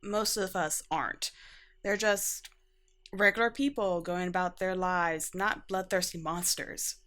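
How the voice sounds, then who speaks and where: A woman acts as an exasperated young female vampire in an ASMR roleplay. Her voice sits a little low, and she talks in a halting, defensive way with sarcastic asides, caring underneath the irritation. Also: steady tone, fairly bright tone. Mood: gentle, explaining. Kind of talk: ASMR roleplay acting, conversational.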